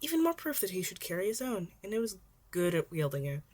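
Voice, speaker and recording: A woman's voice: medium pitch, sing-song, fast, a little mellow. A woman narrating fan fiction in an amateur audiobook style, now and then stumbling and rereading words.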